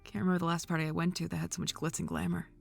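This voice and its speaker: A woman playing a dry gravelly-voiced socialite. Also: lowish voice, flat delivery, very fast, soft background music, heavily acted. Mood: wry. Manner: dry, sarcastic, easygoing. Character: young adult woman, wry social event organizer. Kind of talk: fan audio drama.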